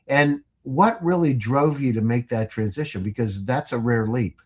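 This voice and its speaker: A man's voice: medium pitch, normal speed, a little mellow. A man hosting an interview, asking curious follow-up questions in a casual way. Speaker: podcast host.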